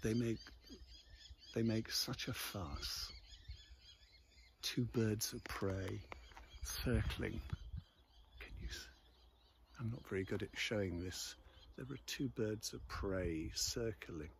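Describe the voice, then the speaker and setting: A man chatting casually and hesitantly in an unhurried, rambling way, easily sidetracked, speaking outdoors into a phone. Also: on the low side, creaky, a little hiss or hum. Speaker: vlog host and local magazine columnist. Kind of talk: rambling casual morning chat to camera. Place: outdoors in the countryside above a valley.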